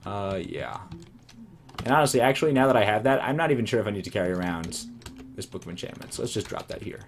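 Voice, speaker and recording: Deep-voiced man, hoarse game commentary. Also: expressive, fast, a little hiss or hum. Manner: rambling, self-amused, musing asides. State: voice going hoarse. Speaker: let's play commentator. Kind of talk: casual gameplay commentary.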